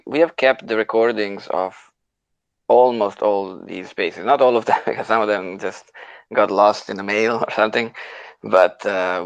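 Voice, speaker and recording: A man's voice: fairly low pitch, vocal fry, dry recording, dull audio. A man co-hosting a casual live audio chat and moderating speakers in an easygoing, somewhat hesitant way.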